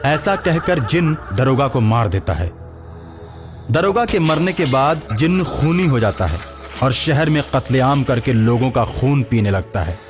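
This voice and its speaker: A man's voice: medium pitch, lively intonation, unhurried. A man narrating a scripted cartoon story as the storyteller. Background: background music.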